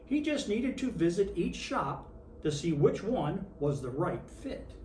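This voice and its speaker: A reader in character as Santa Claus, reading a children's picture book aloud with expressive storytelling. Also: medium pitch, unhurried. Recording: roomy, distant mic with faint noise.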